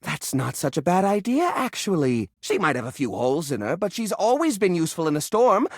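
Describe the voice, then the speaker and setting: Quirky, animated junk-drawer hoarder. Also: male voice, on the high side, a little fast, no room sound, clean studio sound. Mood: fond, musing. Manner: expressive, earnest, fussy musing. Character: quirky, sentimental male junk drawer personified, fussy hoarder. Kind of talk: video game character acting.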